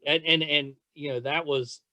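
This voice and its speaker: A man's voice: fairly high pitch, fairly even intonation, normal speed, pushed and bright. A middle-aged man hosting a casual interview, enthusiastic and rambling in the way he talks. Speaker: podcast host interviewing a guest. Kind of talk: casual chat.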